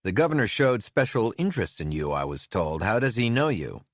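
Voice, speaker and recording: A man voicing a loud, blustery middle-aged sheriff who shouts over engine noise. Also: lowish voice, very animated, brisk, no room sound, studio quality, voice pushed up.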